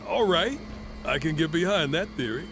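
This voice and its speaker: An actor playing a resolute, brooding warrior in a video game, speaking in a terse and earnest way. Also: male voice, expressive, moderate pace. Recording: rumbling engine-like noise behind.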